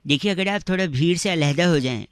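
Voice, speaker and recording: A man's voice: fairly high pitch, fast talker, clean tone, no room sound, clean studio sound. A man speaking as a radio prank caller, in a flowery, over-polite and pompous mock-formal manner. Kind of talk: comic prank call in mock-formal speech.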